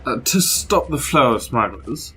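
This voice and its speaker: A man's voice: medium pitch, lively intonation, unhurried, pushed and bright. Formal, anxious Imperial lieutenant played by a man. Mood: nervous deference. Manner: formal, military, slightly nervous. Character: anxious, dutiful young male Imperial lieutenant. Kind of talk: sci-fi audio drama acting. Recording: music behind the voice.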